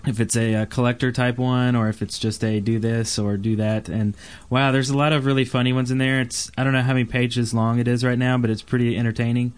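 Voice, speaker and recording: A man's voice: mid-range voice, little pitch movement, fast, clean tone. Casual man with mid-range voice. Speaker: podcast co-host. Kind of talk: casual gaming podcast chat.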